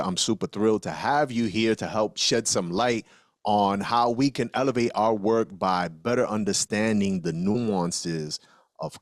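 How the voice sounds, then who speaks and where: A man's voice: lowish voice, no echo, clean studio sound. A man hosting a podcast, introducing and interviewing a guest with emphatic enthusiasm. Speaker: podcast host.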